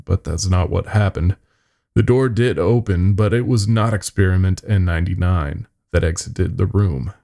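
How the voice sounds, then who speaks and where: A man tells a first-person horror story in a very deep voice with plenty of gravelly fry. He delivers it as a podcast storyteller, with dry, wry asides along the way. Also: normal speed, mellow.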